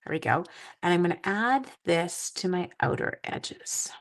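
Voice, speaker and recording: A woman's voice: lowish voice, lively intonation, measured pace. A sleepy, groggy woman hosting a casual crafting live stream, chatting with viewers as she works and making playful little sound effects. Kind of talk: casual crafting demo with chat.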